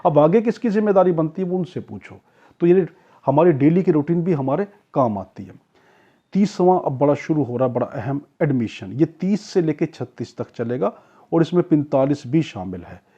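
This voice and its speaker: A man's voice: fairly high pitch, lively intonation, moderate pace, dark tone, breathy edge. A man teaching an exam-prep law lecture in a didactic way, repeating key points and answering his own questions. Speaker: law tutor. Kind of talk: explanatory exam-prep lecture with everyday examples. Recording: dull, lo-fi recording.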